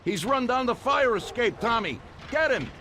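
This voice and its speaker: Older mafia boss, American accent, genial yet ruthless, colloquial, animated intonation, game cutscene. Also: male voice, extremely high pitch, moderate pace, background noise. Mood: furious. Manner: conversational, colloquial gangster talk. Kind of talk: video game cutscene acting.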